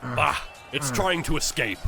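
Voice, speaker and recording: An actor playing a calm, experienced male witch hunter giving advice mid-battle in a fan audio drama. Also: fairly high pitch, measured pace, gravelly, music in the background. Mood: steady.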